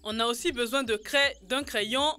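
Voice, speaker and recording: An eager young child in a children's cartoon. The voice is fairly high and bright, over faint music, always ready with an idea. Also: female voice, normal speed. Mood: cheerful. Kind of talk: animated children's cartoon dub.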